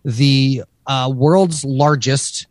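A podcast co-host with a nasal voice trades crude, joking banter in a blunt, loose way. His voice sits at an everyday middle pitch for a man. It is recorded dry and close, with hardly any room sound. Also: steady tone, drawn-out words, no room sound. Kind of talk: casual profane banter.

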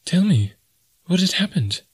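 A man's voice: high-pitched, big pitch swings, a little slow, slightly rough, dry recording, voice pushed up, exaggerated voice. A man voicing a proud young American woman in an adventure story, speaking formally and with emotion and wounded dignity. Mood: anxious, pleading.

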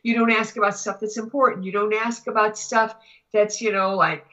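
A woman chatting casually as a talk show guest and teacher, telling anecdotes in an animated way. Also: medium pitch, moderate pace, highs cut off.

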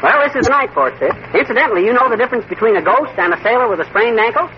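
A man with a boastful, folksy manner and rapid tongue-twisting patter, performing in a 1940s radio comedy. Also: very high-pitched, lively intonation. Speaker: comedy show lead. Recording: muffled old radio recording with loud hiss.

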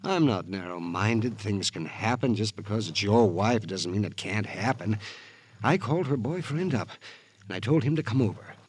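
An actor playing a distraught, bitter American husband in a 1950s radio drama, husky and agitated, repeating himself with emotion. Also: male voice, lowish voice, lively intonation, normal speed, a little mellow, no echo. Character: distraught, guilt-ridden, bitter husband of a murdered woman.

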